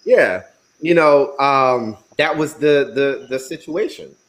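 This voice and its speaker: A man's voice: medium pitch, slow pace, fairly bright tone, poor audio. A man chatting casually in a group conversation, joking and using many fillers. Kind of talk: casual roundtable chat.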